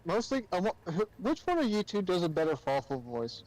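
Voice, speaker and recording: A man's voice: high-pitched, sing-song, fairly quick, darkish tone, rough recording. A man chatting casually as a guest on a game livestream. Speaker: guest co-streamer. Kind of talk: casual chat. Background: faint game music.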